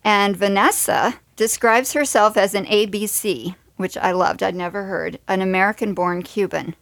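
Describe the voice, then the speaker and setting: A woman's voice: mid-range voice, steady tone, moderate pace, creaky, a bit bright, no echo. A woman hosting a radio show from a studio, introducing segments and interviewing guests.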